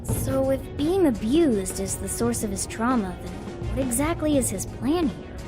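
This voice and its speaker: A woman's voice: on the high side, expressive, moderate pace, lightly acted. A woman playing a practical, focused young planner with a businesslike manner in an anime-style video game. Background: music.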